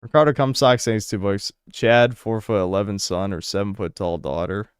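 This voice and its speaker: A man's voice: fairly low pitch, steady tone, normal speed, smooth, dry recording, studio quality. A young American man streaming, reading donation messages and answering chat in a blunt, crude, sarcastic way. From United States.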